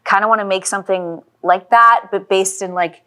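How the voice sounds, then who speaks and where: A woman's voice: medium pitch, fairly even intonation, moderate pace, pushed and bright, clean tone. A Canadian woman in her thirties explaining things in a casual interview, peppering her talk with "like" and "kinda". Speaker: guest, acting teacher and filmmaker.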